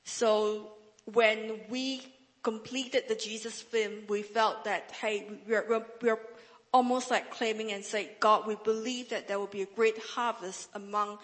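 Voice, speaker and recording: A woman speaks earnestly in a somewhat high voice, often restarting and repeating her words. Her is a Chinese dialect. A long reverberation from a large hall trails her speech. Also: steady tone, measured pace. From northern Malaysia. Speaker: guest speaker, Bible translator. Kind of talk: testimony speech in church.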